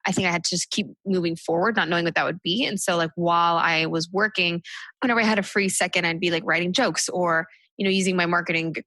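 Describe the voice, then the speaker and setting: Fast-talking bright-voiced woman comic. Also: on the low side, steady tone, no echo, low-quality recording. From United States. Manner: chatty, full of "like" and "you know" fillers. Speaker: stand-up comic guest. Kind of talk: casual interview chat.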